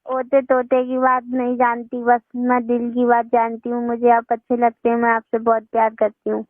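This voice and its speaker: A woman's voice: on the high side, monotone, normal speed, no room sound. A young woman, speaking insistently and without fluster in a personal phone call. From northern India. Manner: insistent, unflustered. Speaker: phone caller. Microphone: telephone. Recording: tinny phone-line call recording.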